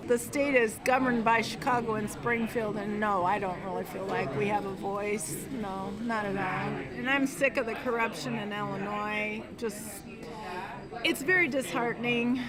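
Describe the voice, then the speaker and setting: A woman's voice: medium pitch, moderate pace. A woman giving her opinion as a voter in a casual news interview. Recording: loud crowd chatter around.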